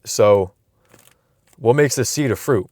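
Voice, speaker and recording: A man's voice: mid-range voice, slow, a little mellow, no room sound. A young man vlogging from inside a car, musing aloud in a loose, rambling way with frequent restarts, recorded on a phone camera. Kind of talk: casual rambling vlog.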